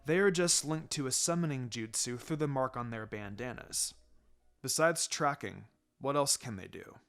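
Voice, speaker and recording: A man voicing a calm, dry adult ninja teacher in a story reading, with a low-key, gently chiding manner. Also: normal speed, creaky, smooth. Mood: calm, proud.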